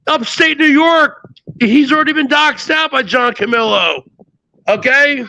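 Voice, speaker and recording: A man trading crude, profane jabs in casual banter, loud and mocking, often mimicking others. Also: extremely high pitch, measured pace, rough recording. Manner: brash, profane, mocking, does mimicking voices.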